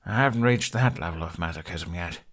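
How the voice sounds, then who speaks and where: A man's voice: low voice, a little fast, a bit bright, voice pushed down. Man voicing an urgent, determined young runaway hero. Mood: resolute. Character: determined, self-sacrificing young male runaway in a fantasy adventure.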